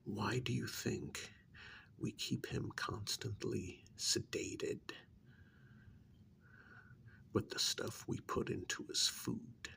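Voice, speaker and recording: A man playing a stern, secretive government handler in an ASMR role-play, speaking softly in a pointed, reprimanding, deliberate way. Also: lowish voice, steady tone, slight background noise, exaggerated voice. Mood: insistent, secretive. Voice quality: breathy near-whisper with gravelly creak. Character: stern, secretive male government handler scolding an undercover agent.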